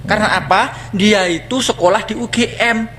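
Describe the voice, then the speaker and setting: This man speaks with a Javanese accent and a high-pitched voice. He scolds bluntly and mockingly, firing off rhetorical questions and answering them himself in the manner of a plain-spoken farmer. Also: fairly even intonation, normal speed, background noise. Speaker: farmer in a reacted-to video clip. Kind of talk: blunt scolding monologue to a student activist.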